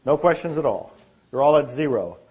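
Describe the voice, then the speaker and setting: A man's voice: mid-range voice, expressive, a little fast, a little mellow, husky, dull audio. A man presenting a seminar and answering audience questions in a friendly, conversational way in a meeting room. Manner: friendly, conversational, chuckles easily. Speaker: presenter and author.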